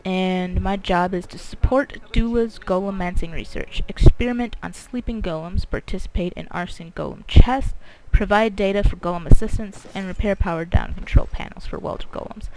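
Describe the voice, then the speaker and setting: A woman gamer talks through her play in a casual, wandering commentary. Her voice has a gravelly creak, and she sits right up on the microphone, with clicks and faint noise in the recording. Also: medium pitch, normal speed, few pauses. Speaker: gamer hosting a video series. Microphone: mouth very close to microphone. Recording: breathy close-mic overload, clicks, faint noise.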